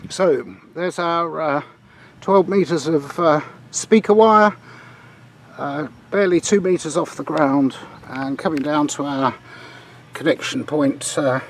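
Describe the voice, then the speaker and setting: An older man presenting an informal hands-on tutorial outdoors, explaining in a halting way with hesitant pauses. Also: a little high, slight background noise. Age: older, about 60s. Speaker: presenter of a radio demonstration. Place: outdoors in a garden beside a field.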